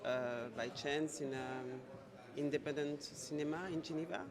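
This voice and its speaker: A man from Switzerland, an actor, speaking hesitantly with many pauses and fillers. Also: on the high side, steady tone. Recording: crowd chatter behind.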